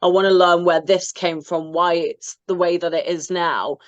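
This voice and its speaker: A woman co-hosting a casual chat, talking quickly and chattily with many fillers. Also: lowish voice, steady tone, no echo, muffled recording. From Britain. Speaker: co-host. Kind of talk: casual discussion.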